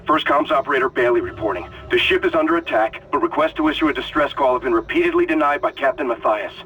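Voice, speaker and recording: An actor playing a defiant, desperate ship communications officer recording an indignant log in a video game. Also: male voice, mid-range voice, lively intonation, quick pace, pushed and bright, poor audio. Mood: grim, defiant. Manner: intense, indignant.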